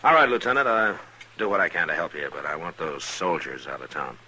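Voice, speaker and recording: Deep, forceful Western marshal. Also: male voice, sing-song, exaggerated voice. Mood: firm. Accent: American. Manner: measured, authoritative, laconic. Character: steady, firm frontier town marshal. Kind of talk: 1950s western radio drama acting. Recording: noisy vintage radio recording.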